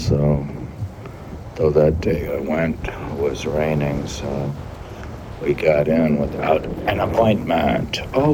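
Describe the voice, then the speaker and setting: A man in his sixties hosting a podcast, reading aloud and adding meandering, musing commentary as if talking to himself. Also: rumbling low. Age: 60s. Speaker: podcast host. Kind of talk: reading a classic text aloud with rambling personal commentary. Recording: clearly noisy recording.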